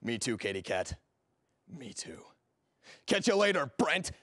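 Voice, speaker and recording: A man's voice: on the low side, big pitch swings, very slow, fairly bright tone, no echo, studio quality, full character voice. A man playing a smug, suave rich ex-boyfriend, talking down to people in broad comic style. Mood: smug, dismissive. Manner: smooth, condescending.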